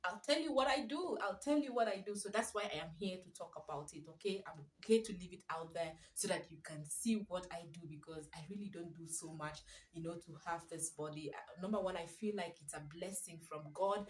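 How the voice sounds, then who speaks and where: Soft-spoken young woman, roomy echo. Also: on the low side, brisk, hardly any pauses, slight background noise. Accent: Nigerian English. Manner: warm chatty, speaks softly so the baby can sleep. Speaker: parenting vlogger. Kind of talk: casual chat to camera. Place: room at home. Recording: echoey room.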